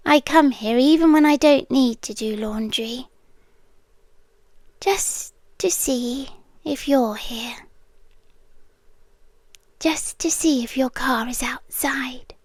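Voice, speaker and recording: Woman acting a slow, high-voiced, whispery British yandere stalker. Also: dry recording. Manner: hushed, deliberate, slipping often into whispers. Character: obsessive yandere stalker girl, intense, soft-spoken, longing, menacing. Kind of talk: ASMR roleplay, intimate monologue. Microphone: close microphone.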